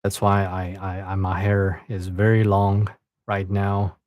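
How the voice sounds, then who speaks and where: A young man with a Chinese accent, a native Chinese speaker chatting casually on a live stream, soft-spoken and hesitant, often repeating words. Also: sits low, completely flat delivery, unhurried, dry recording, highs cut off. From China. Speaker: live streamer reading stories.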